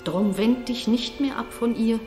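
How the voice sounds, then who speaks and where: A woman's voice: fairly high pitch, a little slow, lightly acted. Woman acting commanding court noblewoman, solemn declamation, mysterious, 1960s radio play, roomy sound, faint music. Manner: solemn, declamatory stage delivery.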